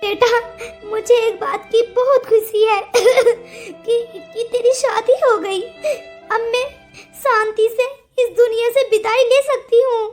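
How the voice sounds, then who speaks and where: A woman plays a frail, devoted mother on her deathbed in a cartoon melodrama. Her voice is extremely high, with halting, weepy phrases. Music plays faintly behind it. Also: fairly even intonation. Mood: resigned, pleading. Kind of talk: melodramatic cartoon story acting.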